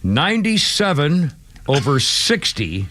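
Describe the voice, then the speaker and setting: A man's voice: fairly high pitch, very animated, slow, sharp tone, no room sound. An older man from New England hosting a radio talk show, rambling through stories with many restarts and laughs in a studio. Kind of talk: casual radio talk and storytelling. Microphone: studio microphone.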